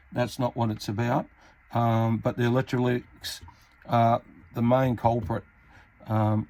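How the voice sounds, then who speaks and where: A man giving an informal, matter-of-fact repair tutorial, pausing mid-sentence as he works. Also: mid-range voice, darkish tone, rough recording. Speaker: hobbyist radio repairer, video host. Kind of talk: casual hands-on repair tutorial.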